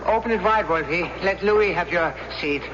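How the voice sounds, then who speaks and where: Man playing a high-voiced, steadying soldier comrade. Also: normal speed, natural voice. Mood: friendly. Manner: short, steadying remarks. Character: sensible, comradely German soldier, restraining his drunken friend. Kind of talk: 1970s radio drama acting. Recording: muffled, noisy 1970s radio broadcast.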